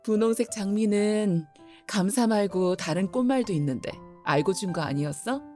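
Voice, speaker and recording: A woman's voice: medium pitch, moderate pace, dark tone, soft background music, natural voice. A woman playing a middle-aged mother who runs a flower shop, teasing her daughter affectionately. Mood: warm teasing.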